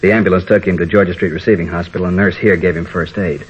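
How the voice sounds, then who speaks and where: An actor playing a shaken American high school chemistry teacher in a 1950s radio drama, earnest and detailed. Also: male voice, steady tone, breathy edge. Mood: shaken, explanatory. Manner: hurried, earnest, detailed recounting. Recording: dull vintage radio recording, faint noise.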